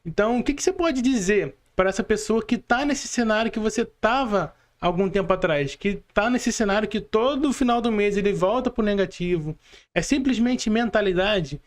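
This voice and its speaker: High-voiced Brazilian man, halting delivery. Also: lively intonation. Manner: halting, rephrases and seeks agreement. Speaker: podcast host. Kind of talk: casual interview chat.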